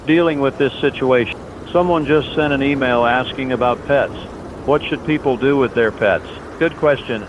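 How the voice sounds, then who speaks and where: A man playing an earnest, steadfast overnight radio host in a scripted disaster drama, speaking in solemn, reassuring, deliberate phrases. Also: close to an everyday voice. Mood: worried, uncertain. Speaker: late-night radio host in a fictional broadcast. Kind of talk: scripted radio drama monologue, emergency broadcast. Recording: dull lo-fi audio, loud outdoor rumble.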